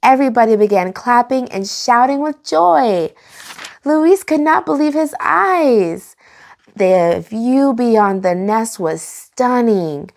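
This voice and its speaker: Young female storytime host, expressive and engaging, reading picture books aloud for kids. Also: medium pitch. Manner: expressive, engaging, enunciated for children. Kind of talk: animated read-aloud for children.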